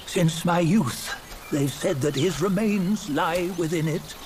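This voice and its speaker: Weary old sage, low-pitched enough to sit mid-range, slow grave storytelling, fantasy game, noisy outdoor ambience. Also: male voice. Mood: solemn, reverent. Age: older. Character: weary old male sage telling of a legend.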